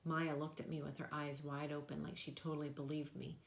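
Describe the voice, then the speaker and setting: Female storyteller, slightly low voice, expressive children's book narration, audibly roomy recording. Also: fairly quick, gravelly. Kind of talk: expressive children's novel read-aloud.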